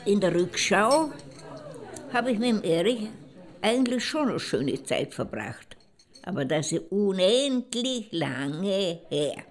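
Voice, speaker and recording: A woman playing a wry older former lover who looks back deliberately on her life in an audio drama. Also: mid-range voice, big pitch swings. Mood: wry, wistful.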